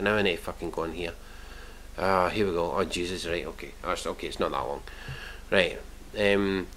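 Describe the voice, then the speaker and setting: A man's voice: lowish voice, pushed and bright. A man, commenting on posts he reads out in a scornful, mocking way with plenty of swearing, recorded indoors in a small room on a webcam. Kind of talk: reaction commentary reading posts.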